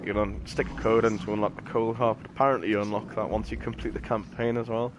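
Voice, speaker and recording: A man's voice: fairly low pitch, steady tone, fast, background noise. A man giving casual gameplay commentary in a rambling, matter-of-fact, slangy way. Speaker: game streamer. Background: game music and sound effects.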